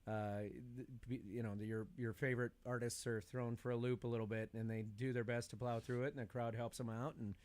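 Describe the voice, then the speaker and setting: A man with a mid-pitched voice talks casually, as in a podcast conversation. He is animated and emphatic, often restating a phrase to drive his point home. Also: a little fast, few pauses. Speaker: podcast speaker. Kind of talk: casual chat about concerts as a fan.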